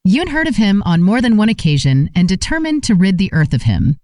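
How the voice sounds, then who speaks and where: Woman narrating old folk tales, soft mellow tone, pitch moves a lot, dry recording. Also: sing-song, fairly quick, dry recording. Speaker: audiobook narrator. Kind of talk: scripted folk tale narration.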